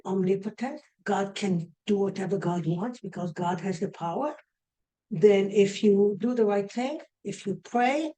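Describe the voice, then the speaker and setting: An older woman with an Israeli accent lectures in a mellow, warm voice. She works through her ideas step by step and often restarts a phrase before finishing it. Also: mid-range voice, low-quality recording. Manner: explaining step by step, with frequent restarts. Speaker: astrology lecturer.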